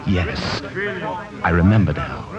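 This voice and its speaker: A haunted murderer in a radio drama. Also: male voice, low-pitched, slow pace, crowd noise. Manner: brooding, haunted journal narration. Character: obsessed male murderer writing his journal. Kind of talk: 1940s radio drama acting. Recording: lo-fi old radio broadcast with music.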